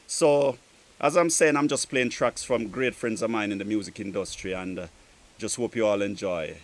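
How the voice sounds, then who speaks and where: A man presenting a music show outdoors in a garden, casually giving friendly shout-outs in drawn-out phrases. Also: fairly low pitch. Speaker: DJ and show host.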